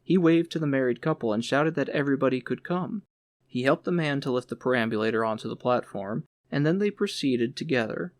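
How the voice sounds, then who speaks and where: A man's voice: medium pitch, brisk, dry recording. A man reading literary fiction aloud as an audiobook narrator.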